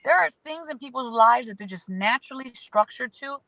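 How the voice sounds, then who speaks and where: A woman's voice: high-pitched, animated, fairly quick, bright, forceful tone, dry recording. A woman appearing as an astrology guest, giving spiritual advice in an emphatic way that stacks phrases into lists.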